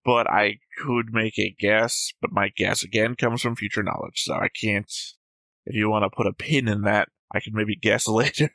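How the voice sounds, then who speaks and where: Bright-voiced man reading his notes. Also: lowish voice, fairly even intonation, moderate pace, no room sound. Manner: methodical, reads out notes, careful about spoilers. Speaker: reaction show host. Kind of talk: casual discussion reading notes.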